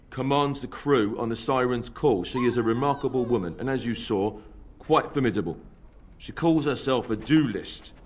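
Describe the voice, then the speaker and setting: A man's voice: medium pitch, lightly acted. Man voicing a plain-spoken admiring game character. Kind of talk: video game character dialogue.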